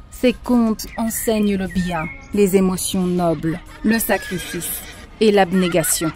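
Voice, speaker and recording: A woman voicing a calm wise teacher. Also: lowish voice, lightly acted. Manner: calm, instructive.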